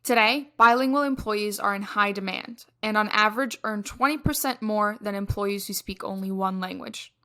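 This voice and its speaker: A young woman co-hosting a casual podcast, her voice bright and forceful. She tells stories in an animated way and often restarts her sentences with fillers. She grew up in Egypt. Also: medium pitch, normal speed, hardly any pauses. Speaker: podcast co-host.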